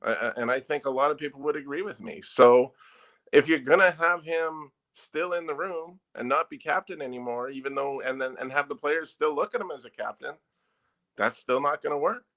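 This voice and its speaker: A man's voice: a little high, normal speed, fairly bright tone. A man who is a newspaper sports editor, a guest on a sports talk show, stating firm, emphatic opinions.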